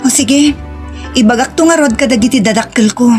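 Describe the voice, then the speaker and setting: A woman's voice: a little high, fairly quick, lightly acted. A woman with a Filipino accent playing an emotional young woman in love in an audio drama, with a sweet, emotive delivery. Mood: hopeful. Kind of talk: romantic audio drama acting. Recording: music under the voice.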